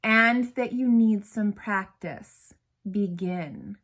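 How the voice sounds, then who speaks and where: A woman's voice: medium pitch, lively intonation, extremely slow, a bit bright, heavily acted. Woman acting a stern, exacting schoolteacher. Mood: exacting, dramatic. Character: stern, exacting older female schoolteacher coaching drama.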